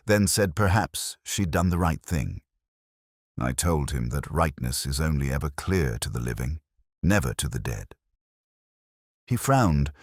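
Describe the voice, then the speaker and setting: A man performing a weary detective narrating a literary radio drama. The voice is extremely low and rises and falls expressively, with a solemn, reflective delivery. The recording is close and dry. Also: no echo, full character voice. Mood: wry, resigned.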